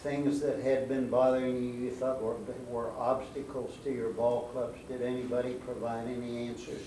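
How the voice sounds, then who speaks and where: A man's voice: medium pitch, level tone, normal speed, recorded from a distance. A man asking questions as a reporter at a press conference. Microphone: off-camera, away from mic. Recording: roomy off-mic sound, faint noise.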